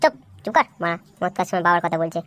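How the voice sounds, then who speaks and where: A woman's voice: lowish voice, animated, racing, heavy background noise, natural voice. A woman voicing rowdy, foul-mouthed young drinkers in a comedy cartoon, brash and slangy. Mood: brash, dismissive.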